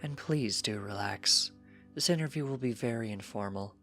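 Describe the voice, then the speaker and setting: A man performs a regal pony princess from a fantasy story. She is calm, gracious and measured, with music playing underneath. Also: on the low side. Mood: gracious, amused.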